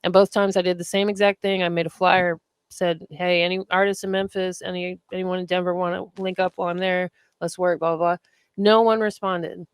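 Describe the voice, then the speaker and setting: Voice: medium pitch, little pitch movement, a little fast, clean tone, no room sound. A podcast host interviewing a guest in a chatty, upbeat way, often filling with "like".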